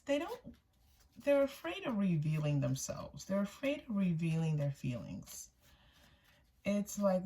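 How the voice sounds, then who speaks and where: A woman's voice: fairly low pitch, mellow. A woman giving a casual, intuitive tarot reading for viewers, often repeating phrases for emphasis. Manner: voices another person's thoughts in first person. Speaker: tarot reader, channel host.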